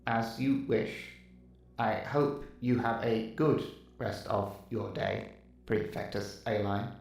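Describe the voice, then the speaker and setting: A man's voice: lowish voice, steady tone, takes it slowly, vocal fry, a little mellow. A man playing a polite cyborg servant in a sci-fi audio drama, speaking in a stiff, formal, courteous way. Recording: very echoey, distant, some noise.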